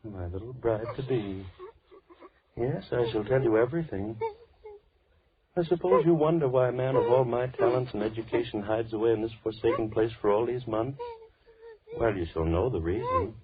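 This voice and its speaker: An actor playing a menacing, deranged villain in 1940s radio horror, theatrical and volatile, shifting between coaxing and anger. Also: male voice, mid-range voice, expressive, moderate pace, a loud crowd, natural voice. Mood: coaxing menace. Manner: theatrical, gloating, swinging from coaxing to angry. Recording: muffled old radio recording, crackly background.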